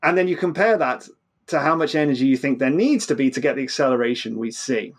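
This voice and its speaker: A man's voice: medium pitch, quick pace. A man explaining things as an interview guest, often stammering and repeating the start of his phrases. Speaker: physicist guest. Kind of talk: animated explanatory interview talk.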